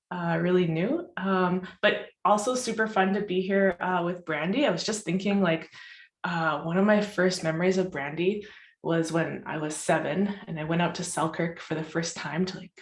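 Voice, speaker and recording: A woman's voice: mid-range voice, echoey room. A young woman from Southern Ontario introducing herself and her poems on a video call, chatty and laughing often, with many ums and likes. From Southern Ontario, Canada. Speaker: poet reading at a festival. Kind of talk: casual intro then poetry reading. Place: home, joining remotely.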